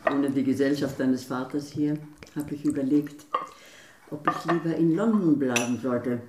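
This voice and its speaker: A woman's voice: very deep voice, measured pace, noisy background. A woman acting in a radio drama as a chatty, motherly older novelist and family friend. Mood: warm, sympathetic. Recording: roomy echoing interior.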